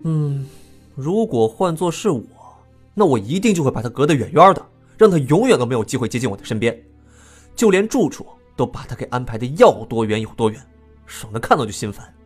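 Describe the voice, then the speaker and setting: An actor playing a frank, excitable young prince, the outspoken younger brother, in an audio drama. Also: male voice, mid-range voice, a little fast, slightly rough, faint music behind. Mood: indignant, insistent.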